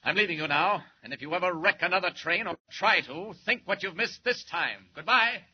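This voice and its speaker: An actor playing a confident superhero in a 1940s radio drama, calm, commanding and politely taunting. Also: male voice, high-pitched, fairly quick, husky. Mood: cool, mocking. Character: confident male superhero, coolly mocking wrongdoers. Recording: muffled noisy old radio recording.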